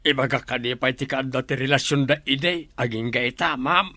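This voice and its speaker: An actor playing a nervous male detainee in a radio drama, pleading deferentially in a gravelly voice with an Ilocano accent. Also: medium pitch, normal speed, sharp tone, no echo, lightly acted. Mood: wary, pleading.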